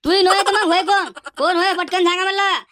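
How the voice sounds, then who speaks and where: A man voicing an exasperated village schoolteacher in a comedy cartoon, hectoring in a Varhadi Marathi accent through a pitched-up effect. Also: level tone, fast, bright, forceful tone, no room sound, studio quality. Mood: threatening. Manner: hectoring, repetitive, threatening.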